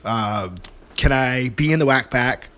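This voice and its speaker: A man's voice: mid-range voice, unhurried, pushed and bright, slight background noise. Male caller, faint Maine accent, rambling and self-deprecating, lots of fillers. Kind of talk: voicemail to a radio show.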